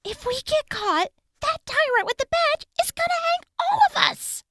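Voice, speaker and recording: A woman voicing an anxious, skeptical small crew member in an audio drama, squeaky and fretful. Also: moderate pace, slight background noise, full character voice. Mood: alarmed. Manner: fretful, excitable.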